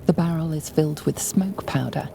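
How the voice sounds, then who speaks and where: A woman playing the solemn, ominous storyteller who narrates a fantasy game, gravelly and hushed. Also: deep voice, moderate pace, slight background noise. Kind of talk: scripted narration.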